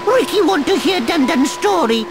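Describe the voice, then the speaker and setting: A woman's voice: very high-pitched, animated, moderate pace. A woman voicing a small, cheerful, boastful yet fatherly furry creature in a video game, talking in childlike broken grammar in the third person. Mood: earnest, wise. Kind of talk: video game cutscene voice acting. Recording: loud music behind the voice.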